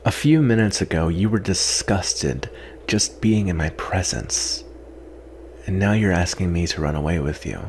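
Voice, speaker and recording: A man playing a guarded, weary yet secretly tender vampire in an intimate ASMR roleplay, soft-spoken with halting pauses. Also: low-pitched, expressive, slight background noise. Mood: wary, bitter.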